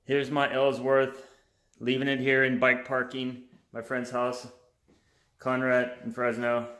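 A man's voice: mid-range voice, unhurried. A man in his forties chatting casually to his phone camera on a road trip, from the car and at roadside stops. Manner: relaxed, chatty narration to camera. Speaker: travel vlogger. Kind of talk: casual travel vlog. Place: inside a car while driving, also outdoors at stops. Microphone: phone camera at arm's length. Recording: car and road noise behind.

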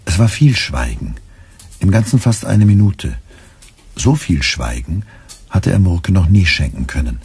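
A man with a gravelly, husky voice reading wry literary narration in a German radio play. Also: low voice, very animated, measured pace, a little mellow, full character voice. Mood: wry, measured.

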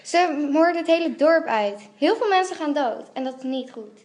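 A woman's voice: sits high, lively intonation, moderate pace, darkish tone. A woman playing a brave, thrill-seeking princess in amateur radio drama, with a plain, casual delivery. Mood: determined.

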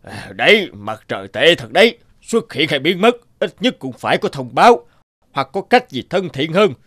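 An actor playing a hungry, grumbling night-hunting wild cat in an animated fairy tale. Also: male voice, a little high, normal speed, no room sound, clean studio sound.

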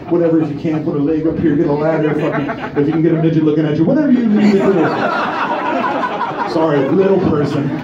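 A man in his thirties doing a crude, profane open-mic stand-up set, teasing the front row from a small bar stage. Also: fairly high pitch. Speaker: stand-up comedian. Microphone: handheld stage mic, filmed from far above. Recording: distant roomy lo-fi club recording. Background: audience laughter.

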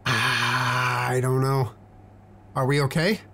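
A man's voice: medium pitch, flat delivery, slow pace, bright, forceful tone. Young man streaming, casual and noisy. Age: young adult. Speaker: streamer and player. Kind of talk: casual gaming chat. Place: indoor home streaming room.

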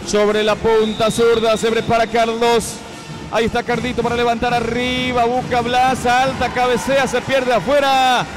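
A man with a Paraguayan Spanish accent calling a youth futsal match live, with quick, excited play-by-play that climbs at scoring chances. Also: extremely high pitch, music in the background. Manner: rapid, excited calls that rise near goal chances. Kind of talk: live sports commentary.

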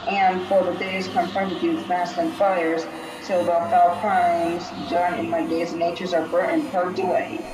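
A woman voicing the solemn, aggrieved ghost of a murdered king, speaking in slow, archaic, portentous phrases in a tabletop game. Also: on the low side, level tone, background noise, lightly acted. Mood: solemn, grave. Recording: echoey, distant, music underneath. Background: music.

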